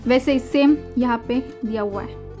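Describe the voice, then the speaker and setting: Woman teaching german, kinda high voice, patient, goes over words again and again, faint music in background. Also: dark tone. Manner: patient, repeats words slowly, mixes Hindi and English. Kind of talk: explaining textbook exercises.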